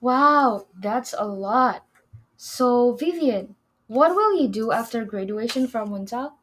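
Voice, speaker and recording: A woman's voice: fairly high pitch, lively intonation, slow, fairly bright tone. A young woman from Indonesia co-hosting a student podcast, speaking English as an in an earnest, slightly way. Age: young adult. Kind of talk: casual scripted chat.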